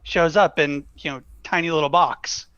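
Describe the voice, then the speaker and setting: Man, sharp bright tone, raised pitch, dry, slightly muffled call audio, casual. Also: fairly even intonation, normal speed, clean tone, no room sound. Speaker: guest fan performer. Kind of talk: casual chat.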